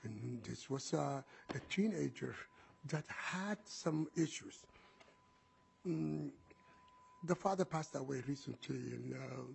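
A middle-aged man with a Somali accent giving public testimony in deliberate, hesitant phrases. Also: medium pitch, expressive, creaky, a little hiss or hum. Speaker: program manager giving testimony. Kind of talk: public testimony, spoken semi-freely.

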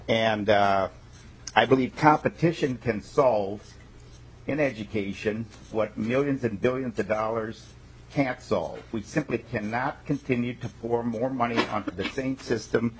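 A man's voice: medium pitch, slight background noise, low-quality recording. A male state legislator introducing himself at a committee meeting, folksy and emphatic with joking asides.

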